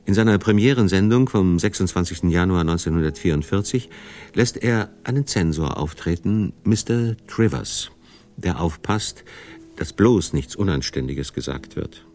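Very low-voiced male documentary narrator. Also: lively intonation, moderate pace, darkish tone, soft background music. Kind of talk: scripted radio documentary narration.

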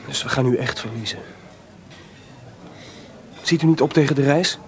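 An actor playing an impetuous, wry and defiant composer in a Dutch radio drama. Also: male voice, normal speed, natural voice. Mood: friendly, wry. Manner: wry, informal, headstrong. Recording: clearly noisy, rumbling archive radio recording.

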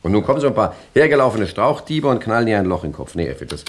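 An actor playing a cocky, hard-boiled gangster boss in a satirical radio crime comedy, colloquial and insistent. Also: male voice, fairly low pitch, big pitch swings, racing. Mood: stubborn, indignant.

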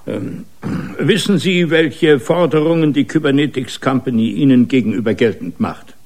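An actor playing a fussy, hesitant judge in a German radio drama, halting and stammering as he keeps order. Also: medium pitch, expressive, darkish tone. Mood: flustered, admonishing. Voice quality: gravelly, husky.

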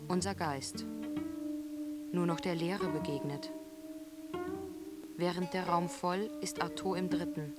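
A narrator reciting a literary radio play text in a deliberate, fragmented way. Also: medium pitch, lightly acted. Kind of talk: literary scripted narration over music. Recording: music behind the voice. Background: plucked guitar music.

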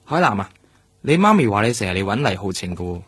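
Husky, cautioning doctor. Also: male voice, fairly low pitch, sing-song, fast, a bit bright, full character voice. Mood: cautioning, firm. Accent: Hong Kong Cantonese. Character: firm, cautioning male senior doctor, protective and guarded. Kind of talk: Cantonese radio drama acting.

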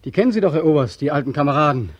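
An actor playing a weary, haunted ex-soldier in a 1940s radio drama, pleading and obsessively repeating himself. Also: male voice, a little high, expressive, rapid-fire, no room sound. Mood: feverish. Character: weary, haunted ex-soldier back from war, insistent.

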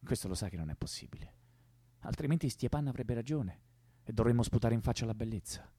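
An actor playing an idealistic, passionate young revolutionary in an earnest, impulsive way for a radio drama. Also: male voice, medium pitch, lively intonation, fairly quick, mellow, husky, no echo, studio quality. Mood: resolute. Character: idealistic, passionate young male revolutionary who loves life. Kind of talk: theatrical radio drama acting.

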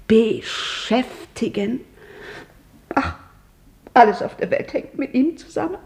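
An actress playing a shoemaker's widow in a 1950s radio play, rambling and musing but oddly practical. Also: female voice, fairly high pitch, big pitch swings, slow pace, a little mellow, exaggerated voice. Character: chatty, grieving widow of a shoemaker. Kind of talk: 1950s radio drama acting.

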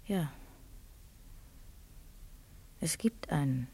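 An actress in a German radio play playing a desperate, scheming wife who hides a crime, speaking secretively. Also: female voice, low-pitched, animated, very slow, creaky, dark tone, breathy edge, full character voice. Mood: bleak, desperate.

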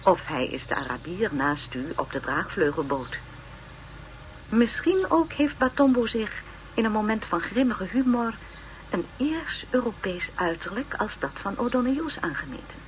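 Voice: mid-range voice, lively intonation, slight background noise, lightly acted, poor audio. An actor in a radio play playing a pompous, erudite lecturer narrating an educational film in formal phrasing. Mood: pompous, lecturing. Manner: measured phrasing, pompous, donnish.

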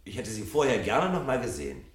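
A restless, bitter husband in a 1970 radio drama. His low voice moves animatedly and turns sardonic, heard at some distance in a roomy recording. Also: wildly swooping pitch, fast. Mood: sardonic, challenging.

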